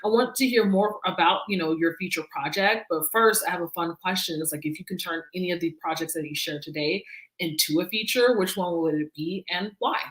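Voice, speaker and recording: A woman's voice: lowish voice, fairly quick, a bit bright, poor audio. A woman moderating a film discussion, asking questions casually with fillers like "like" and "um". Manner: casual, friendly, uses fillers like "like" and "um". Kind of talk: interview questions.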